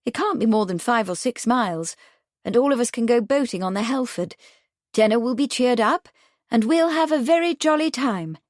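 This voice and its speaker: A woman playing an eager, bossy upper-class young woman with a brisk, emphatic way of talking. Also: on the high side, lively intonation, a little mellow, no room sound, clean studio sound, pitched above the performer's own voice, close to an everyday voice.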